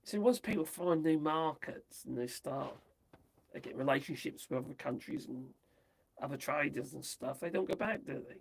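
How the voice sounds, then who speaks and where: A man from the UK, chatting his way through the news on a livestream, rambling and tossing in tag questions, his voice going hoarse. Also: a little high, expressive, moderate pace. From United Kingdom. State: losing his voice. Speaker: livestream host. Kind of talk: casual commentary on news.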